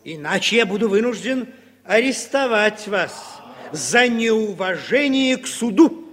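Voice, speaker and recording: An actor playing a stern, commanding judge who speaks in an official, emphatic way in an old radio drama. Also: male voice, high-pitched, lively intonation, measured pace, fairly bright tone. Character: stern, authoritative male judge presiding over a trial. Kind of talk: Soviet radio drama acting. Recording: 1960s radio, echoing hall, crowd behind.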